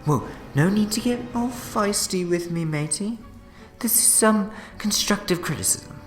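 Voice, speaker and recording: An actor playing a gleefully smug, scheming time-travelling villain in a fan audio drama, theatrical, wheedling and gloating. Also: male voice, sits high, animated, measured pace. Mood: mock-jovial. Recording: echoey long reverb, music behind.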